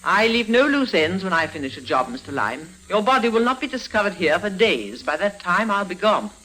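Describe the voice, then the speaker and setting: An actress playing a ruthless older woman con artist in an old radio drama, menacing and deliberate in manner. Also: mid-range voice, big pitch swings, fairly bright tone, poor audio. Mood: cold menace. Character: cunning, ruthless old female con artist and crime boss. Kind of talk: 1950s radio drama acting.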